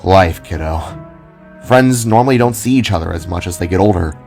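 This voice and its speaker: A man playing an earnest, easily amazed young husband in an audio drama, speaking in an animated way over music. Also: low-pitched, moderate pace, creaky. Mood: patient, explaining. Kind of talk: audio drama character acting.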